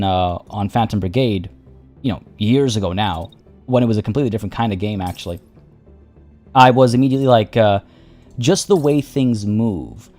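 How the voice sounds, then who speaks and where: A man's voice: fairly low pitch, darkish tone. A young man streaming a game from his home setup, his voice getting worn, rambling through casual commentary with lots of fillers and restarts.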